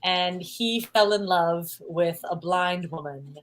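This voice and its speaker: A woman from Singapore with a light Singaporean accent, chatting as a musical guest in an interview, playful, laughing often and pausing on "um". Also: fairly low pitch. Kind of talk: casual interview chat.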